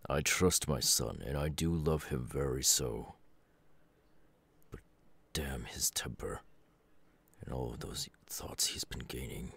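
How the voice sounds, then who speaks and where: A stern middle-aged superhero father, acted out by a man for an ASMR roleplay. He is brooding yet caring, with a bottomless low voice that crackles with fry. He talks slowly and weightily, with halting pauses. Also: fairly bright tone, no echo, full character voice. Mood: weary, earnest. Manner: slow, deliberate, halting delivery with sighs. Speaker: voice actor.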